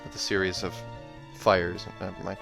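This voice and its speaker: A man's voice: sits low, level tone, normal speed, creaky, a bit bright. Man reading a fantasy story over music. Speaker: reader and channel host. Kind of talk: expressive audiobook narration with casual asides. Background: soundtrack music.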